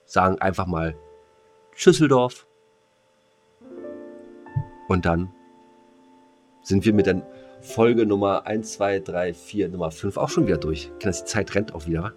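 A man's voice: sits low, a little slow, pauses often, darkish tone. A man co-hosting a podcast in casual, slangy, rambling and jokey banter. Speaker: podcast co-host. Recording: music playing under the voice.

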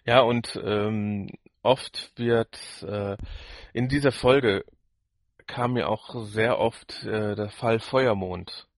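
A man's voice: on the low side, slow, vocal fry, no room sound. Man chatting on a podcast, dry sound. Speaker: podcast co-host. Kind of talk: casual review chat.

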